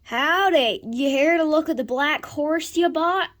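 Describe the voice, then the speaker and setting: Woman playing a country horse seller, slow drawl, blunt, lecturing, high-pitched. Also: fairly bright tone.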